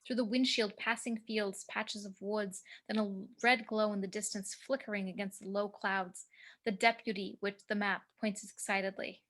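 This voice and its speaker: A woman's voice: mid-range voice, steady tone, normal speed, a bit bright. A woman reading screenplay action as narrator, with dramatic, clipped phrasing, recorded over a home video call.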